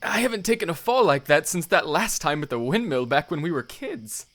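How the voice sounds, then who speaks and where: A man's voice: a little high, lively intonation, a little fast, fairly bright tone. A man acting a playful, overdramatic young prince with theatrical, mock-pompous delivery in an audio drama. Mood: rueful.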